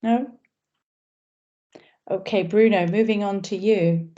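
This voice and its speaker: A woman's voice: medium pitch, fairly even intonation, slow pace, dark tone. A woman hosting an online event, thanking and introducing speakers courteously. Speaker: event host. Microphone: video call. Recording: lo-fi video call audio.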